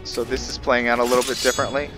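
A man giving casual gameplay commentary as host, thinking aloud in a halting way. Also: medium pitch, level tone, music in the background. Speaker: gaming video host.

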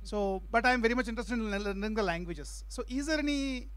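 A man's voice: very high voice, animated, fast, fairly bright tone, no room sound. A man from the audience, politely asking a question and listing his points.

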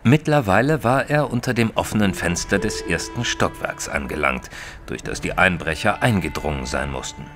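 An earnest companion to a detective tells his tale in a deep voice with animated intonation. His delivery is measured and literary, in audio-drama style, with faint music beneath. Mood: measured narrating. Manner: measured, formal, literary storytelling. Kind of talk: scripted first-person narration and audio drama acting.

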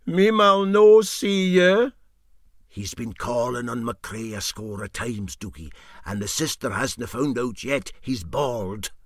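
A man voicing a sly, teasing old boat skipper with a Scottish Highland accent and a drawn-out, mock-sympathetic manner in a storybook reading. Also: lively intonation, no echo, clean studio sound.

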